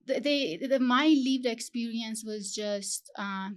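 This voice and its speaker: Young iranian-born woman, slow careful talk full of ums, interview guest. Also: on the high side. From Iran. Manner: halting, searching for words, frequent fillers. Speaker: guest, PhD student. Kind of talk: interview conversation.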